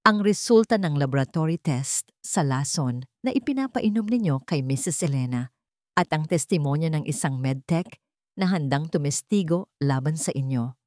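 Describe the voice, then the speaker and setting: A woman's voice: low voice, expressive, normal speed, darkish tone, no echo, studio quality. Woman as cold, confident Filipino male rescuer. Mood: cold triumph. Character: cold, confident man confronting wrongdoers, a rescuer.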